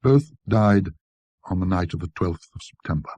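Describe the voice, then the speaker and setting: A man narrating a classic ghost story in a precise, scholarly, measured manner. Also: sits low, animated, dark tone, slightly rough, no echo.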